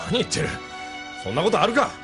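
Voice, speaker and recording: An actor playing a cocky, hot-tempered young pilot thug with coarse, slangy speech in an audio drama. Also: male voice, sits high, sing-song, fast. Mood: irritated. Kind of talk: Japanese audio drama acting. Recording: music behind the voice.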